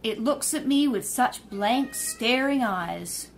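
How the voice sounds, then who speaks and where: An actress playing a poised young woman sleuth in a 1930s-style radio drama, polite and quick-witted, then indignant. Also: fairly high pitch, animated, soft background music. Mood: charming.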